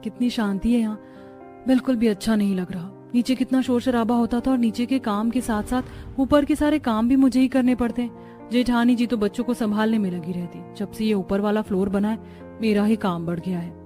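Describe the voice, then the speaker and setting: A woman's voice: on the high side, steady tone, brisk, mellow, soft background music, natural voice. A woman playing a young, dramatic, exasperated new bride in a cartoon family story. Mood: weary, complaining.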